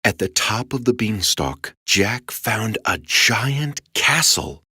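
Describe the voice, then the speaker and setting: A woman with a low voice reads a children's story. Her delivery is slow and full of lively rises and falls, and the sound is close and dry. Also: slightly rough, no echo. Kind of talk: expressive children's storybook narration.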